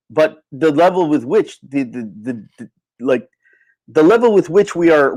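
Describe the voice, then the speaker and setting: A man's voice: mid-range voice, darkish tone. A man giving an impromptu opinion monologue as a livestream host, emphatic and repetitive, often restarting his sentences. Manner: emphatic, rhetorical questions.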